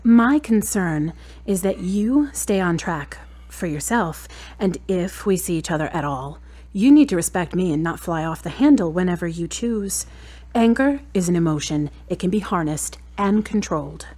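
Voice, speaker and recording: A woman's voice: fairly low pitch, expressive, normal speed, a little mellow. Wry, exacting girlfriend played by a woman. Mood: measured, firm. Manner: wry, challenging, pointed questioning. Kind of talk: audio drama dialogue.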